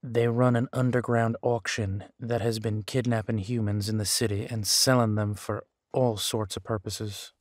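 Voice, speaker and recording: Even-toned man, a steady detective played by an actor. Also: fairly low pitch, moderate pace, few pauses, no room sound, clean studio sound, natural voice. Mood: matter-of-fact. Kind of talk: audio drama acting.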